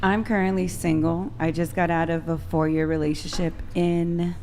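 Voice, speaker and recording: Panel guest, woman, 30s, Bay Area native, low pitch, frank and chatty. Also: flat delivery, normal speed, darkish tone, a little hiss or hum. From San Francisco Bay Area. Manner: candid, laughing between answers. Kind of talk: casual chat.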